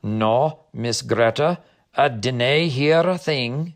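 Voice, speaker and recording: A man's voice: medium pitch, slow. A man voicing a courteous, folksy creature companion with a Scottish accent in a storybook reading. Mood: polite.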